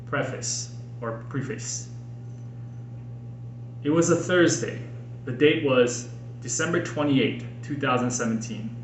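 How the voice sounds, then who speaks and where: An American man reads his own personal writing aloud in an earnest, expressive way with deliberate phrasing. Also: medium pitch. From Minnesota, United States. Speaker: podcast host and author reading own book. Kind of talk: audiobook-style personal reading. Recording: roomy echo with faint background noise.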